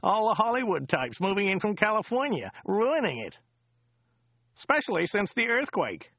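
A man doing a loud, high-voiced rambling driver. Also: very animated, normal speed, no echo, dull audio, full character voice. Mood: rambling chatter. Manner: rambling, repeats himself, laughs at own jokes. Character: chatty loud male American taxi driver. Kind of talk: audiobook character voice.

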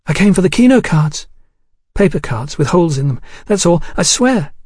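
A male narrator lifts his voice to play a nervous young woman in an audiobook. She sounds husky and high-set, blurting insistent, placating lines, recorded very dry. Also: expressive, moderate pace, a little mellow, no echo. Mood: nervous, defensive.

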